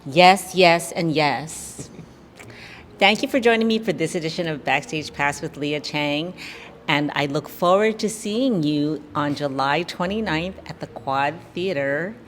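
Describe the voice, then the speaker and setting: Woman host with a low voice, encouraging, in a reverby restaurant. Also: a little slow. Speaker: interview host. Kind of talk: casual interview. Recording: roomy reverb with faint background noise.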